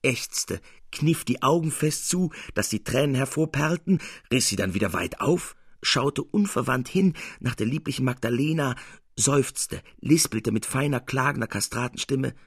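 A male narrator reads classic literary prose. His delivery is precise and theatrical, with a husky grain to a medium-pitched voice. The sound is very dry and close. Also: expressive, moderate pace, dry recording. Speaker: narrator, reader. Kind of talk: expressive scripted literary narration.

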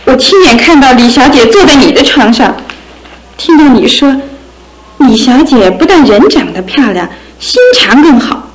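Jealous, high-voiced young sweetheart. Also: female voice, expressive, normal speed, rough recording. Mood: jealous, accusing. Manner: petulant, emphatic. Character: jealous, wounded young sweetheart, sulky and accusing. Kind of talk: radio drama acting.

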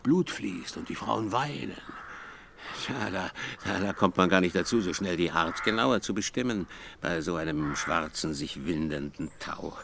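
An actor in a radio play as a rough, blustering man telling of a clubbed eel and making excuses. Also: sits low, animated, moderate pace, a bit bright, slight background noise, exaggerated voice. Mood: excited, defensive.

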